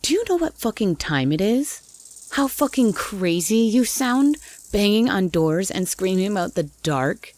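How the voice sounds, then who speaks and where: A woman playing a gruff, wary cabin dweller, blunt and grumpy, in a horror audio roleplay. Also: mid-range voice, very animated, unhurried, a little mellow, slight background noise. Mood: angry, suspicious. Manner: blunt, grumpy, foul-mouthed.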